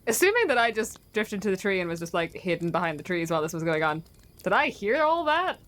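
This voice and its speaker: A woman's voice: mid-range voice, very animated, fast talker, a bit bright. A woman playing a tabletop game, chatting casually with dry, teasing remarks. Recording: faint background noise and ambience.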